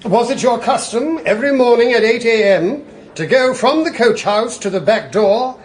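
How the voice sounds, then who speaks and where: An actor playing a fussy, formal British prosecuting barrister in a courtroom radio drama. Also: male voice, very high voice, expressive, normal speed. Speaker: prosecuting counsel.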